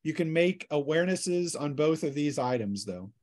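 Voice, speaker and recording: A man working as game master, narrating a tabletop role-playing session in a casual, improvised, halting way. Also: on the high side, expressive, a little mellow.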